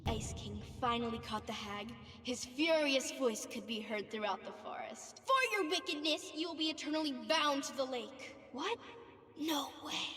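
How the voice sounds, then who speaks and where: An eager little girl in a story game. The voice is high, proud and theatrical, as if performing a fairy tale. Long reverb washes around it. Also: moderate pace, pushed and bright. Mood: dramatic storytelling. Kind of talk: video game dialogue acting. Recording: echoey hall-like reverb on flashback.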